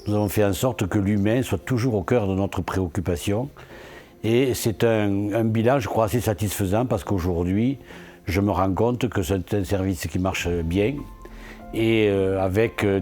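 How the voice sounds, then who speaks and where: A man's voice: lowish voice, normal speed, warm tone, faint music behind. A man speaking earnestly as an elected local official in a promotional interview, posing questions and answering them himself. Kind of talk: promotional interview testimony about local achievements.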